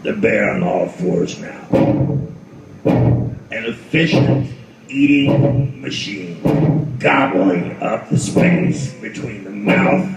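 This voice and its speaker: A man's voice: on the low side, animated, gravelly, full character voice. An actor playing a huge, savage, hungry grizzly bear in a radio play, drawing his words out menacingly. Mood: savage hunger. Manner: slow, menacing, drawn-out delivery. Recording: echoey live room, distant, loud guitar behind.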